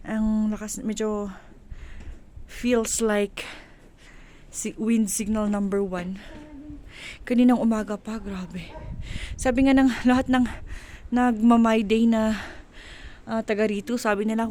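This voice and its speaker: A woman with a Filipino accent chatting casually and haltingly for a vlog while walking outdoors, mixing in English phrases. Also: a little high, steady tone, dark tone. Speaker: vlogger. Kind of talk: casual walk-and-talk vlog chat. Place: outdoors on a windy countryside walk. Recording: noisy windy outdoor ambience.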